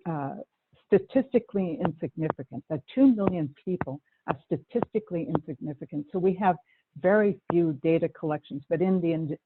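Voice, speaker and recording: A woman with a Boston accent, speaking earnestly as a panelist in a webinar, often restarting her sentences. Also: low voice. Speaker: panelist, Native community organizer. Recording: tinny phone-like line, faint hiss.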